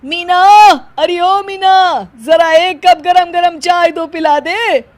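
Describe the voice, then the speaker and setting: A woman's voice: extremely high pitch, steady tone, takes it slowly, dry recording. A woman voicing a suspicious, scolding older mother-in-law in a theatrical cartoon style. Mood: calling out. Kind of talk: Hindi cartoon story acting.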